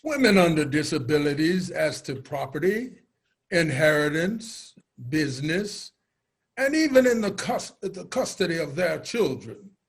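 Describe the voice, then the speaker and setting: A man playing an earnest nineteenth-century senator giving a deliberate, oratorical speech in a reader's theater. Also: on the high side, breathy edge, heavily acted. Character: earnest 19th-century senator championing women's rights.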